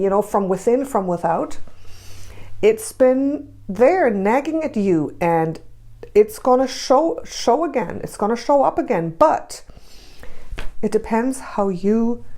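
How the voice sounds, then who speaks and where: Woman tarot reader with a sore throat. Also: on the high side. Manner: emphatic, repetitive, with soft hushed stretches when guiding. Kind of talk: intuitive tarot reading and guided visualization.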